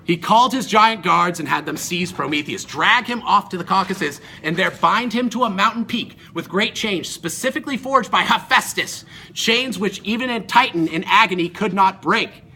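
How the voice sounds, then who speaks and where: A man's voice: high-pitched, lively intonation, moderate pace, vocal fry, hardly any pauses, bright, forceful tone, slight background noise. A male student performer telling a myth as a dramatic story in an amateur recording.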